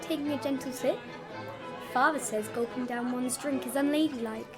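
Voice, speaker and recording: A woman's voice: sits high, normal speed, over music, lightly acted. A woman playing a pert, sulky fifteen-year-old Victorian younger sister in a theatrical period drama.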